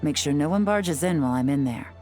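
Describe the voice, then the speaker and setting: A woman playing a cool, composed female secret agent who speaks in a calm, measured, quietly confident way, in a video game cutscene. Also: low-pitched, over music.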